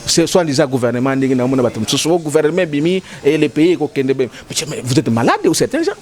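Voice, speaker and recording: Lively, high-pitched man arguing politics. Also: quick pace. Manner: emphatic, repetitive, switching between French and Lingala. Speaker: political commentator. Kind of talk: heated political debate.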